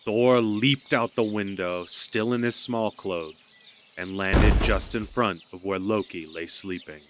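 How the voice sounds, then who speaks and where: Low-pitched male storyteller. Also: slow pace. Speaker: storyteller narrator. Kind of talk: scripted dramatic narration. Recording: noticeable background noise.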